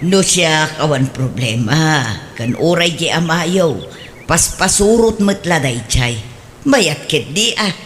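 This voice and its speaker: A woman's voice: sits low, expressive, slow, a little hiss or hum. A woman voicing an older mother in a radio drama who keeps pressing her children, talking in a coaxing, insistent way. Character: older mother, eager and pushy. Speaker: mother longing for grandchildren. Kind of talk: Ilocano radio drama acting.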